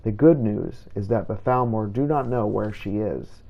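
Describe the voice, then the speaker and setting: A man narrating video game play in character, in a formal, measured storytelling manner. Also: fairly low pitch, vocal fry, warm tone, lo-fi sound. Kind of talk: scripted first-person game narration. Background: game music.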